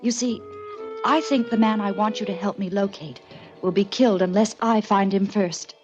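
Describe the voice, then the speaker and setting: A woman's voice: mid-range voice, normal speed, over music, lightly acted. An actress playing a guarded, anxious, well-spoken woman in her twenties, earnest and hesitant, in a 1940s radio drama. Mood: grave, pleading. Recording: dull old 1940s radio recording.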